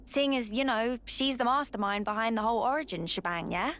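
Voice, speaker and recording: An actress playing a brash, teasing young soldier who talks in a casual, chatty way. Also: female voice, a little high, fairly quick, a bit bright. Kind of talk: video game cutscene voice acting.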